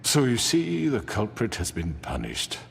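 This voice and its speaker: An actor playing a smug, genteel crime boss in a video game, formal, condescending and smoothly evasive. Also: male voice, lowish voice, wildly swooping pitch, measured pace, long reverb tail, a little hiss or hum, exaggerated voice. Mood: smooth, defiant. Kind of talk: dramatic video game acting.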